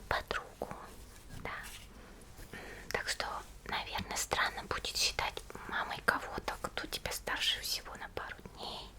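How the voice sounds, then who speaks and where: A woman voicing a gentle young monster schoolgirl in a soft, breathy, slow ASMR roleplay whisper, close to the microphone. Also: lightly acted. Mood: caring, musing. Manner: slow, soothing, hesitant musing with short pauses.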